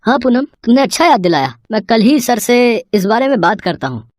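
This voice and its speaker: A woman's voice: a little high, sing-song, normal speed, a little mellow, dry recording, clean studio sound. A woman voicing a kind, generous, well-off family man in a storybook tale. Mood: warm, agreeable.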